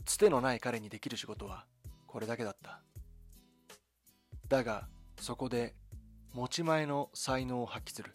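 A man delivering scripted dramatic documentary narration in a measured, solemn storytelling manner, with short deliberate phrases. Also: medium pitch. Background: background music.

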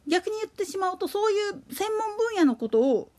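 High-pitched, lively, chatty woman. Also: moderate pace. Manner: colloquial, slangy, chatty. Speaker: solo podcast host. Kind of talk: casual monologue about a radio announcer.

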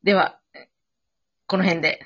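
A woman's voice: lowish voice, steady tone, measured pace, fairly bright tone, breathy edge. A woman chatting casually after an improv drama, switching between polite and informal speech. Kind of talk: casual post-show chat. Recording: dull lo-fi audio.

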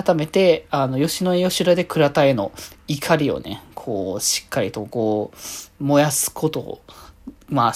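A man hosting a solo podcast, chatting casually through his thoughts on an anime episode in a polite but conversational way, often trailing off into soft sentence-end particles. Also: medium pitch.

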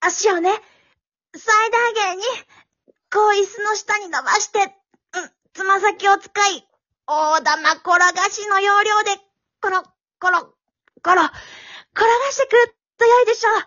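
A high, bright comic manners teacher who teaches with earnest drama and spins into rambling self-talk, voiced by a woman. This is an amateur radio play captured in a dull, lo-fi recording. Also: a little slow, heavily acted. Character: eccentric female manners instructor, overthinking. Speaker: manners instructor.

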